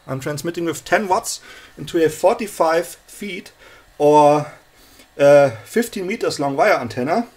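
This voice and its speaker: A man casually presenting a hands-on electronics demonstration in a halting, explanatory way, recorded on a handheld camera in a room at home. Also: a little high, a little mellow. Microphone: handheld camera held by the speaker.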